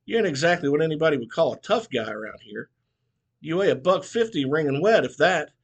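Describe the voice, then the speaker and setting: A man's voice: on the high side, very animated, fairly quick, voice pushed up. A man voicing an old small-town barber, folksy and scolding. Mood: mocking.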